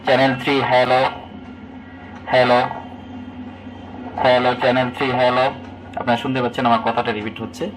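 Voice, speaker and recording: A man with a Bangladeshi Bengali accent, giving a product demonstration as a shop salesman, with rapid, repetitive sales talk. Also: mid-range voice, flat delivery, rough recording.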